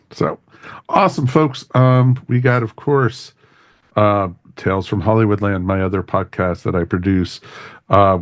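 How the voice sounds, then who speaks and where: A man's voice: lowish voice, dry recording, clean studio sound. A man hosting a podcast in a casual, informal way, chatting through plugs and sign-offs with folksy catchphrases. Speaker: podcast host.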